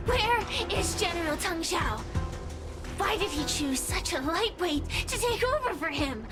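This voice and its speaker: A woman's voice: very high voice, expressive, unhurried. A woman playing a haughty, impetuous spirit creature in a video game, taunting theatrically and spoiling for revenge. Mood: mocking, contemptuous. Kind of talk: video game villain acting. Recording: game dialogue over music.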